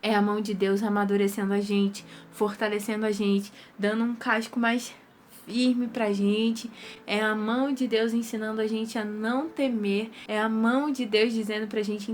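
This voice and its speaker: A woman's voice: medium pitch, slight background noise. A young woman with a Brazilian Portuguese accent gives an earnest, casual devotional talk to her viewers, her nose stuffy from rhinitis. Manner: earnest, repetitive, conversational.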